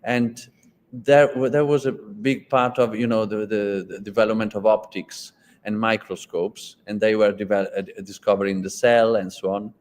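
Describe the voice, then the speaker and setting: An Italian-speaking man interviewing a guest in English, halting and restarting often, with many fillers. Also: lowish voice, flat delivery. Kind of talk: conversational interview.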